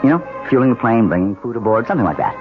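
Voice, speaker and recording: Glib, evasive newlywed husband, played by a male actor, very animated delivery, 1970s radio drama, dull lo-fi sound. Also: medium pitch, very fast, lightly acted. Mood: joking. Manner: glib, jocular. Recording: dull old radio, music behind.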